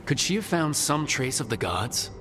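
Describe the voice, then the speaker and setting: A man's voice: on the high side, sing-song, normal speed, over loud music. An actor playing an earnest, composed male team leader in a video game, speaking directly. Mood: businesslike. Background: game score.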